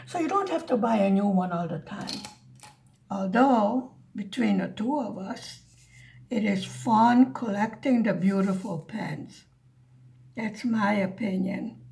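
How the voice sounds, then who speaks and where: A woman's voice: fairly high pitch, gravelly, darkish tone. A woman with a slight Hungarian-American accent, chatting casually and rambling to viewers while she demonstrates a craft. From United States. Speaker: craft vlogger.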